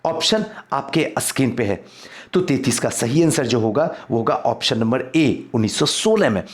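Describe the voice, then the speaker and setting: A man's voice: medium pitch, expressive, normal speed. A man teaching exam history in a quiz lesson, reading out questions and explaining answers, repeating key points for emphasis.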